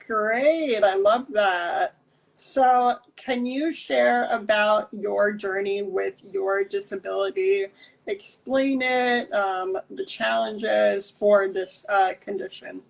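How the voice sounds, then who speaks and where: A woman in her twenties hosting a casual interview, speaking haltingly in short phrases with pauses. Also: medium pitch, steady tone, vocal fry, rough recording. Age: 20s. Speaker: podcast host.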